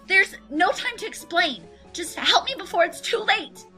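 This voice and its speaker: An urgent unicorn mare from a storybook, played by a woman narrator. She is bossy and takes charge in a very high, sharp voice, with music behind her. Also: animated, normal speed, heavily acted. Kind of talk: audiobook character voice.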